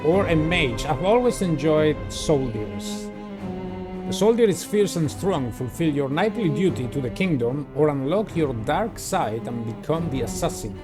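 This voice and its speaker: A man's voice: on the high side, animated, measured pace, music in the background. A man in his thirties or forties hosting a video game playthrough, giving commentary and reading text aloud. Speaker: gaming channel host.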